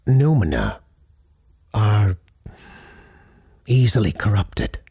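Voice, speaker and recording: A man playing a solemn parapsychologist who hosts a late-night call-in show in an audio drama, speaking formally and deliberately in a husky, gravelly voice. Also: lowish voice, very animated, dark tone, heavily acted. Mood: firm, solemn. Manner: formal, deliberate, halting pauses. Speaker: radio call-in host. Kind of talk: scripted audio drama, deliberate radio monologue.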